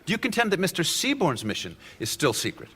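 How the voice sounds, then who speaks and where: An actor playing a sharp, relentless defense lawyer cross-examining a witness in a courtroom radio drama. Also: male voice, sits high, huge pitch swings, quick pace, husky, heavily acted. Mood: pressing.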